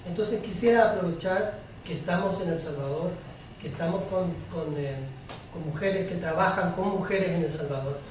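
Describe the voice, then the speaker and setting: A man moderating a panel discussion, putting unscripted questions haltingly as he searches for words. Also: high voice. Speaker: moderator of a public debate. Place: echoey room at a public debate. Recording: very echoey room, distant mic, faint noise.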